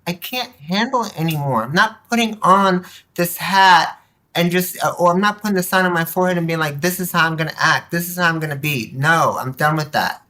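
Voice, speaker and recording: A woman guest on a podcast telling personal stories candidly, with an animated, emphatic manner and acted-out quotes. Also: on the low side, brisk. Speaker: podcast guest, nonprofit board member. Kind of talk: candid personal storytelling in conversation.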